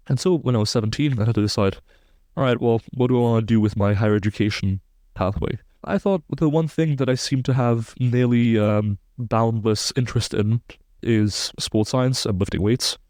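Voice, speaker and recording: Guest researcher, man in his twenties, lowish voice, technical and fluent. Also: a little mellow, no room sound. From Belgium. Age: 20s. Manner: precise, technical, fluent explainer. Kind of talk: podcast interview, explaining research.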